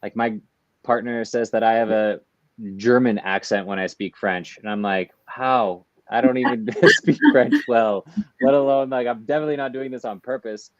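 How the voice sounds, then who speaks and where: A man's voice: medium pitch, steady tone, normal speed. A man from the United States, a guest in a podcast interview, talking in a reflective, easygoing way. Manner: reflective, easygoing, laughs often. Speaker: guest, artist and entrepreneur. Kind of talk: interview conversation. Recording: dull, dry lo-fi remote audio.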